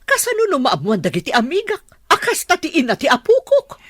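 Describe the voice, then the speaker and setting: An actress playing a snobbish, indignant wealthy mother in an Ilocano radio drama, with a husky voice. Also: sits high, huge pitch swings, brisk, fairly bright tone, dry recording, clean studio sound, exaggerated voice. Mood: haughty, indignant.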